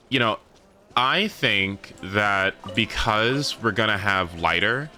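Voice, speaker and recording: Deep, bright-voiced man streaming a game. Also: slight background noise. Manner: rambling, thinking aloud, swearing freely. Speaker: livestreamer. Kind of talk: casual gaming stream chat. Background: game music.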